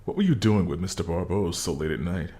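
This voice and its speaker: A man playing a gruff middle-aged detective and father in an audio drama, with a terse, dry delivery. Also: deep voice, fast, warm tone. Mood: probing.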